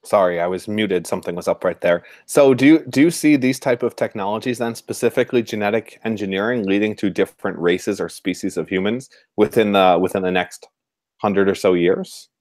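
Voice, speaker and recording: Low-voiced man hosting, close and dry. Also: a little fast, a little mellow, dry recording. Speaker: podcast host, interviewer. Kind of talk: casual conversational interviewing.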